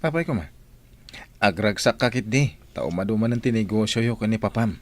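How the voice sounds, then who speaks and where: A man's voice: on the low side, lively intonation, brisk, close to an everyday voice. An actor playing an eager, tender man preparing for a journey in an Ilocano radio drama. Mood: soothing.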